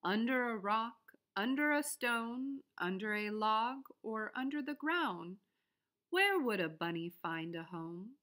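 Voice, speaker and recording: A woman narrates a picture book for young children in a fairly high voice. Her reading is very unhurried and expressive, with sing-song phrasing. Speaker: storybook reader.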